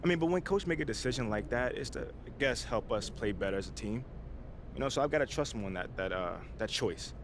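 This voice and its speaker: An actor playing a confident young pro basketball player, talking in a casual, slangy, laid-back way in a game cutscene. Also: male voice, mid-range voice, expressive, brisk, noisy background, lightly acted. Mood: measured. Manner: casual, slangy, hesitant asides. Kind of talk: naturalistic video game cutscene acting.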